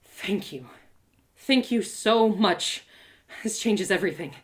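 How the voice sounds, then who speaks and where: A woman's voice: medium pitch, animated, slow, slight background noise. A woman voicing an earnest, wide-eyed young heroine in a live storybook reading, breathless, stammering and full of exclamations. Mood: grateful.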